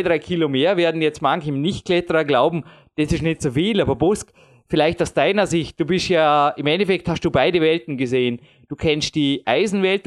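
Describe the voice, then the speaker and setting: A man in his late thirties talking at length in a casual chat, rambling and self-deprecating with frequent chuckles. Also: fairly high pitch. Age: 30s. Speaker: podcast host and competitive climber.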